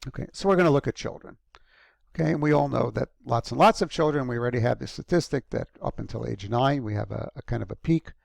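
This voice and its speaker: A man's voice: mid-range voice, fast talker, gravelly, no echo. A man giving a recorded university lecture in a conversational way, with frequent ums and restarts.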